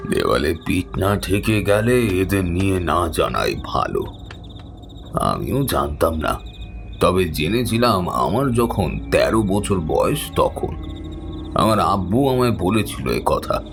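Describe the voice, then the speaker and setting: A man voicing a grim, mysterious old wanderer in a horror audio story, speaking gravely and ominously. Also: low-pitched, sing-song, slow pace, heavily acted. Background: background music.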